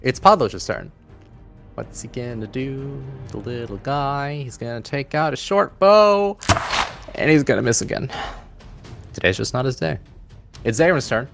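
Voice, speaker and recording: A man's voice: medium pitch, lively intonation, unhurried, creaky, pauses often, a bit bright, soft background music. A man narrating a tabletop fantasy game as game master, with dramatic, descriptive storytelling.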